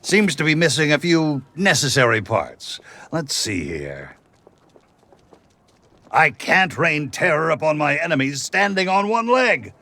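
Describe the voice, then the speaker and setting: An actor voicing a boastful, villainous demonic talking skull in a video game, theatrical and grandiose in manner. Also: male voice, on the high side, very animated, measured pace, pushed and bright, full character voice. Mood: pompous, commanding. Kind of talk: video game character acting.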